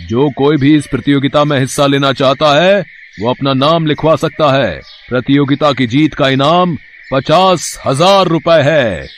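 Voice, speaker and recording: A man's voice: mid-range voice, measured pace, slight background noise, lightly acted. A man playing a village crier in a story, making a formal public proclamation. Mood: proclaiming.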